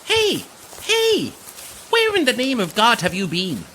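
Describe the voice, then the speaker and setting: An actor with an Irish accent playing a brash, sarcastic coach tour guide in an audio drama, theatrical and teasing. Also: male voice, very high voice, wildly swooping pitch, measured pace, bright, forceful tone, a little hiss or hum, heavily acted. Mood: exasperated.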